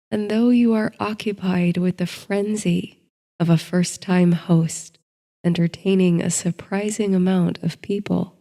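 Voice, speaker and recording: A woman narrating a sleep story like a guided meditation, in a gentle, soothing, unhurried manner. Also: on the low side.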